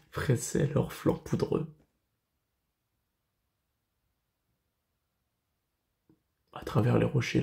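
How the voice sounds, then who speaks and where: A man acting a grieving, solemn tutor in a classical French verse tragedy, declaiming in measured phrases with dramatic pauses. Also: medium pitch, creaky, mellow. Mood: horrified. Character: grieving male tutor recounting a horror, solemn. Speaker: actor. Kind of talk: classical French verse tragedy monologue, self-tape audition.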